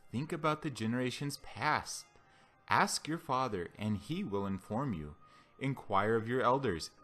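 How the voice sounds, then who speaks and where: Low-voiced man reading scripture over music. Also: a bit bright. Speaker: Bible reader. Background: background music.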